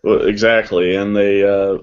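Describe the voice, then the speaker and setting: A man's voice: fairly low pitch, flat delivery, unhurried, clear, resonant tone. A man speaking as a voice actor in a casual panel chat, recorded over a lo-fi connection. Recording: dull lo-fi voice call.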